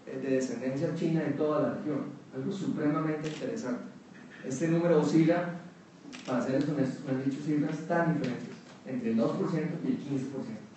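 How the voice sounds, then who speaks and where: A Colombian man with a fairly neutral accent gives a measured academic talk at a round table, pausing often with fillers and restarts. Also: on the high side, dark tone. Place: conference hall. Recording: distant mic in a very echoey hall with faint room noise.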